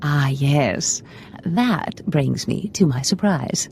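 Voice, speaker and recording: An actress playing a scheming, commanding female dark lord in a video game, composed, measured and authoritative. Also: low-pitched, expressive, gravelly, slight background noise, exaggerated voice. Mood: pleased, commanding. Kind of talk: video game character acting.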